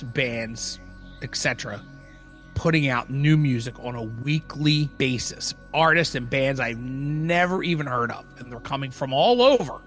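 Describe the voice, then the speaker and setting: A man's voice: mid-range voice, fairly bright tone. A man co-hosting a podcast in casual, slangy chat, repeating phrases for emphasis. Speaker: podcast co-host.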